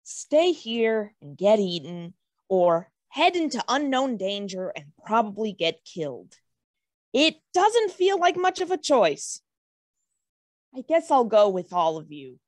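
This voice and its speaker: Cartoon fantasy shrub, female performer: timid and childlike, very lively pitch, unhurried, close dry sound. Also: no room sound. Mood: wary. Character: timid, anxious talking shrub, small and hesitant. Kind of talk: animated fantasy table read acting.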